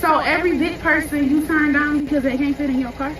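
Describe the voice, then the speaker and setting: A very echoey recording of a woman with a high voice, an engine behind her. She is short of breath and argues in insistent, confrontational questions. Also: fairly even intonation, fast, recorded from a distance. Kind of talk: spontaneous argument. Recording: very echoey phone video beside car.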